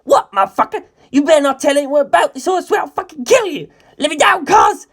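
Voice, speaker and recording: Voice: sits high, animated, quick pace, vocal fry, a bit bright, voice pushed up, heavily acted. A narrator voicing a hot-tempered, foul-mouthed young male gangster who screams explosively. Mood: furious threats. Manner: screaming, explosive, spitting out words.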